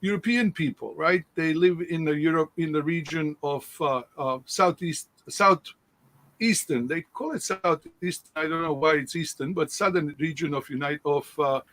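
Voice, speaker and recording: A man from Sandžak with a Bosnian accent, giving an online presentation with shared slides, halting and full of fillers, often asking "right?". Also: a little high, fairly bright tone. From Sandžak, former Yugoslavia. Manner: halting, many fillers and restarts, rhetorical "right?". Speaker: guest speaker. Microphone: video call.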